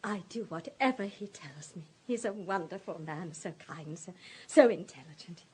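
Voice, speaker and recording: An actress playing a fragile, distraught countess of thirty-nine in a radio drama, halting, emotional and apologetic. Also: female voice, on the low side, animated, slight background noise, natural voice. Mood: anxious, confessing. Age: 30s.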